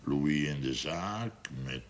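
An actor playing a gruff, stubborn older brewery man in a television drama, talking bluntly and haltingly in mutters. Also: extremely low pitch, lively intonation, slight background noise, exaggerated voice. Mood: musing, planning. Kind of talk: 1970s television drama acting.

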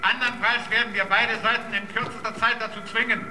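An actor in a German radio drama playing a cold committee official making a formal, commanding announcement. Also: male voice, high-pitched, flat delivery, fairly quick, lightly acted. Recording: echoing announcement, noise behind.

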